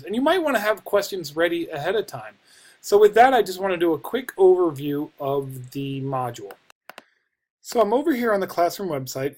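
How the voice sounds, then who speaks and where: An American man in his forties with a slightly high voice talks as a university instructor. He gives an informal course overview, pausing to think as he explains. It is recorded on a computer microphone in a small office. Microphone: computer microphone at desk distance.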